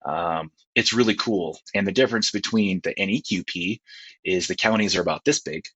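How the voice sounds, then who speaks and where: A man's voice: sits low, moderate pace, fairly bright tone, breathy edge, no room sound. A man chatting casually as a panelist in a laid-back, colloquial way, heard through muffled lo-fi audio. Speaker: panelist on a ham radio discussion show. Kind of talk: casual chat.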